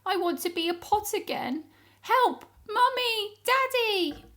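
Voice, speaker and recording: A woman's voice: very high-pitched, animated, takes it slowly, fairly bright tone, voice pushed up, exaggerated voice. A woman voicing a small, frightened male pot policeman from a children's storybook, wailing and pleading. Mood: panicked.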